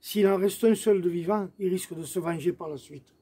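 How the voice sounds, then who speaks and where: A man's voice: high voice, a little fast, dark tone, slightly rough. A man speaking as a guest in an explanatory commemorative talk to camera. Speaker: guest, association president. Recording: faint background ambient noise.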